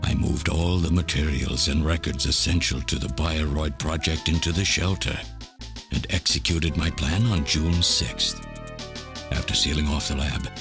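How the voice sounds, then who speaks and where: Very deep, bitter elderly villain scientist. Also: male voice. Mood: cold, matter-of-fact. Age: older. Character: elderly obsessed scientist villain, bitter, lonely, self-justifying. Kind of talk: dramatic video game voice acting, monologue. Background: game music.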